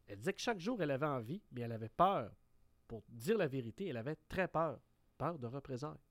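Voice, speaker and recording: A man's voice: mid-range voice, animated, fast talker, no echo. A man with a Québécois French accent, hosting a talk radio show and reading news items, then commenting with fillers and restarts.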